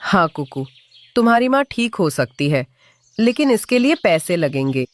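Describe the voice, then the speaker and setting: A woman as a grave, expressive bird doctor. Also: fairly low pitch, moderate pace, no echo. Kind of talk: children's cartoon dialogue.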